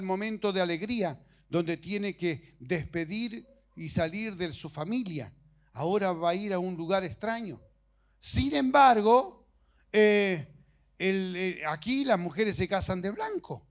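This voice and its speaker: High-pitched man preaching informally. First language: Portuguese. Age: middle-aged. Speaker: preacher and missionary. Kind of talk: informal sermon with personal stories.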